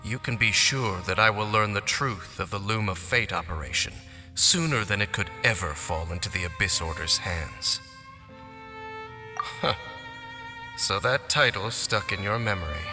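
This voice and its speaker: A man playing a solemn, guarded wandering swordsman who speaks in a measured, formal way in a game cutscene. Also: lowish voice, lightly acted. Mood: grave. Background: game score music with strings.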